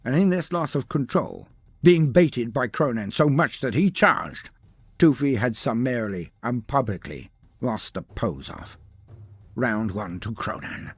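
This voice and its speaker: A man's voice: mid-range voice, big pitch swings, a little slow, breathy edge, no echo. A man narrating a scripted story in a dramatic, grandiose, theatrical manner.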